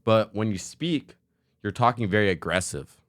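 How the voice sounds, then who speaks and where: A young man co-hosting a casual chat, talking firmly and assertively in a deep voice. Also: expressive, fairly bright tone, no echo, studio quality. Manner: fast, firm, assertive talker. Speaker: podcast co-host.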